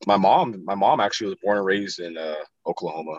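A man's voice: low-pitched, quick pace, no room sound, lo-fi sound. A laid-back man chatting casually, rambling through family stories and laughing often. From South Dakota. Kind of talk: casual chat.

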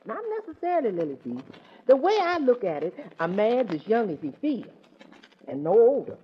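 A muffled old radio recording with faint noise, featuring a sassy housekeeper. She is chatty and wryly deferential, her high voice swooping musically in broad 1940s comedy style. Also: very animated, normal speed. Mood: chatty, playful. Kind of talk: 1940s radio sitcom acting.

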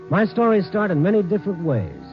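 An actor playing a wry, world-weary newspaper night reporter in a 1950s radio drama, with a sardonic, hard-boiled way of storytelling. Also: male voice, sits high, wildly swooping pitch, fairly quick. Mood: grave, measured. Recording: muffled 1950s radio recording, music behind.

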